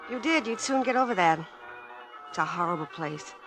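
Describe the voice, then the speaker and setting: A woman's voice: on the low side, animated, brisk, natural voice, poor audio. An actress playing an earnest, nervous young working woman, polite and hesitant, in a 1950s radio drama. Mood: bitter, weary. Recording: vintage 1950s radio recording, music behind.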